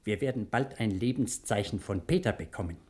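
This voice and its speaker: Actor as kindly old uncle with lively intonation. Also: male voice, fairly low pitch, brisk, close to an everyday voice. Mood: warm, inviting. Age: older. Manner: soothing, fatherly, courteous. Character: kindly, fatherly old German uncle, gentleman of standing. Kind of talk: 1960s German radio play acting.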